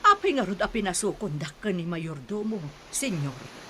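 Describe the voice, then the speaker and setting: An actress playing a wary, respectful mother defending her child in a radio drama, with a husky voice and Filipino accent. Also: fairly low pitch, very animated, moderate pace, pushed and bright, a little hiss or hum. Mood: anxious, protesting.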